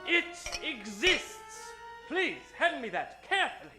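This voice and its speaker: A man playing an earnest, pompous adventurer in an old-time radio parody, with halting pauses and dry deadpan asides. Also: extremely high pitch, faint music behind, exaggerated voice. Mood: grave.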